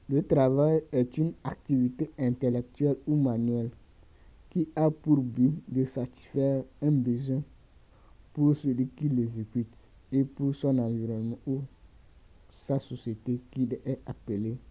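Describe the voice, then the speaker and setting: A man coaching on the topic of work, reading slowly and measuredly, repeating words and pausing with hesitations. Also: medium pitch. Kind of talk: reading a lecture text. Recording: dull lo-fi recording.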